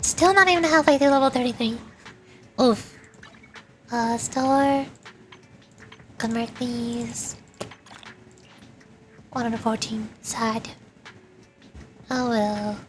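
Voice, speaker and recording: A woman's voice: high voice, steady tone, slow pace, pauses often, a little hiss or hum. A woman game streamer chatting casually to herself and viewers as she plays. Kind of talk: casual gameplay chat. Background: game music.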